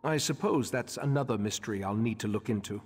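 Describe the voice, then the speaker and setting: A man playing a grave, scholarly leader of a mage order in a video game, speaking in a measured, formal way. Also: lowish voice, breathy edge. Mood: grave, pondering. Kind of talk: scripted video game character dialogue. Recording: long echoing hall reverb.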